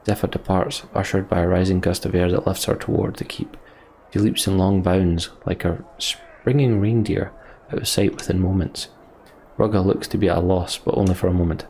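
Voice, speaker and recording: A man's voice: sits low, steady tone, moderate pace, a little mellow, a little hiss or hum. A man hosting a let's play, commenting on the game and reading its story text aloud as narration.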